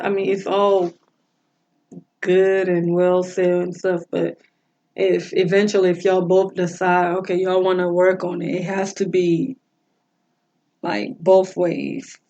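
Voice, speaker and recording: A woman co-hosting a podcast, chatting in a relaxed, rambling way with lots of fillers. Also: on the low side, dull audio. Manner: relaxed, rambling, with frequent "like" and "mm-hmm". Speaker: podcast co-host. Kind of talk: casual chat.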